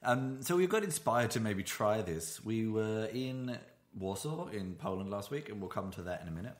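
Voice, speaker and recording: A man with a very deep voice hosts a casual podcast from his apartment. He rambles, restarts his sentences and drops in dry asides, all on a single shared microphone. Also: expressive, normal speed. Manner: rambling, self-correcting, dry humour. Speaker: podcast host. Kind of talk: casual chat. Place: home apartment.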